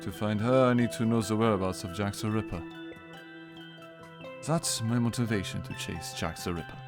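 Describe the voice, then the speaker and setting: A man playing a calm, serious Victorian investigator in a radio drama, measured and matter-of-fact. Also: fairly low pitch, natural voice. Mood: earnest, persuasive. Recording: music behind the voice.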